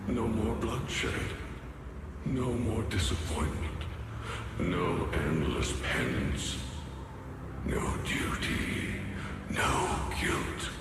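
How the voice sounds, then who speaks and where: A man voicing an ominous dark entity, slow and solemn, tempting weary souls to give up in a video game. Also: low-pitched, very animated, heavy background noise, heavily acted. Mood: cold, coaxing. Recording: echoing, distant, loud music. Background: loud game music.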